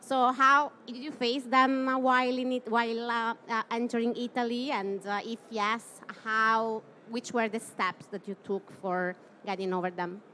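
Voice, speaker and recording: A woman's voice: a little high, little pitch movement, unhurried, few pauses, bright, forceful tone, a little hiss or hum. A woman moderating a conference panel, asking loose, rambling questions full of hedges.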